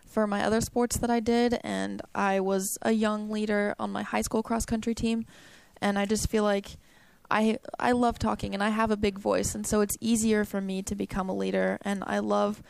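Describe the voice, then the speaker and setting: A woman's voice: mid-range voice, little pitch movement, normal speed, creaky, a little mellow, clean tone, no echo. A young woman, a college runner, answering interview questions in a chatty, upbeat way with many "um"s and "like"s. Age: young adult. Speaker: college cross country runner.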